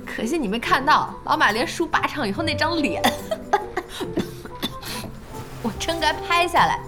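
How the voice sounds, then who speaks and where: An actress playing a brisk, spirited internet cafe owner in an audio drama. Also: female voice, high voice, animated, music in the background. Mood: exasperated, amused. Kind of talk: Chinese audio drama acting.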